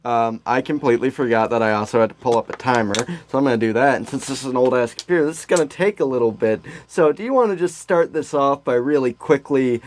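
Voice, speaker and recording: A man's voice: medium pitch, fast talker, hardly any pauses, slight background noise. A man co-hosting a comedy podcast in casual banter, talking in a hesitant, rambling, joking way with plenty of swearing. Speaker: podcast co-host. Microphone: clip-on lapel mic.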